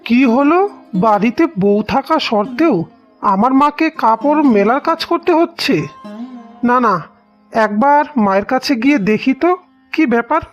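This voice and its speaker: Cartoon young husband played by a woman: high-pitched, stern, indignant devoted son who scolds, slightly muffled recording. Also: expressive, normal speed. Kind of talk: cartoon dialogue acting. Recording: dull audio with music behind.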